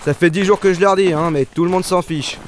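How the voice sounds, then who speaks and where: Man as a grumbling bus driver, slightly high, light noise floor. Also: fairly even intonation, brisk, lightly acted. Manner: grumbling, chatty. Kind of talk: amateur audio drama.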